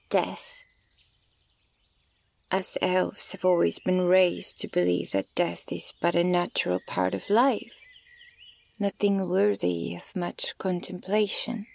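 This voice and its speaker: A woman performs a haughty, centuries-old elven huntress for an ASMR roleplay. Her voice is on the low side and heavy with creak. She speaks very slowly and deliberately, with cold condescension and menace, sometimes slipping toward a whisper. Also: a little mellow, no echo, exaggerated voice. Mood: brooding, solemn. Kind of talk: slow ASMR roleplay monologue.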